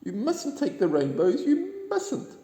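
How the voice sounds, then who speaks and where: A man plays an anxious little female duckling from a storybook, pitched far up and swooping. The pleading is urgent and earnest, in a room with long reverb. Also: wildly swooping pitch, slow, warm tone, heavily acted. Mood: alarmed, pleading.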